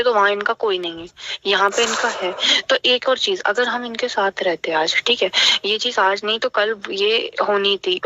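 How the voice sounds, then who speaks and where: A woman's voice: on the high side, bright, forceful tone, no room sound. A young adult woman reasoning things out earnestly and a little hesitantly in a casual personal phone conversation. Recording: dull, lo-fi recorded phone call.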